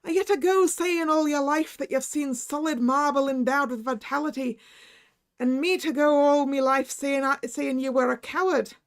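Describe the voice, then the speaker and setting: A woman's voice: high voice, steady tone, normal speed, voice pushed up. A woman voicing a raw-boned, laughing male country doctor with an Irish accent, brusque and teasing. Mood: hearty, teasing.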